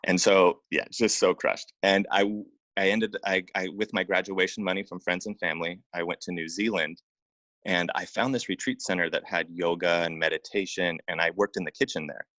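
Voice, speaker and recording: A man from Oregon speaking as an interview guest, telling personal stories casually in an informal, halting way with repeated phrases. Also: deep voice, fairly even intonation, fairly bright tone, dry recording, low-quality recording. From Oregon, United States. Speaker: interview guest, acupuncturist and massage therapist.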